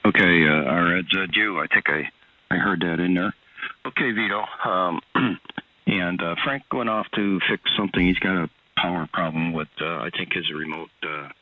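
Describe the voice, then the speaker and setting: A man on ham radio talking through gear details, hesitant and clearing his throat. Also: deep voice, normal speed, no room sound. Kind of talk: casual radio chat. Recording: tinny radio transmission.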